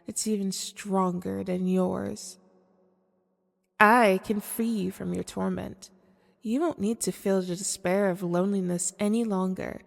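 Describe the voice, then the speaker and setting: A woman playing a manipulative, seductive female demon in an ASMR audio roleplay, speaking formally and deliberately in a coaxing way. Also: mid-range voice, steady tone, creaky. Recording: long cavernous reverb.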